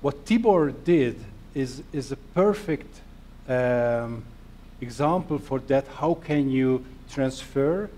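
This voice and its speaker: A middle-aged man from Hungary with a Hungarian accent, giving an earnest, self-deprecating conference presentation in halting non-native phrasing. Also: medium pitch, a little mellow. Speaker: conference speaker.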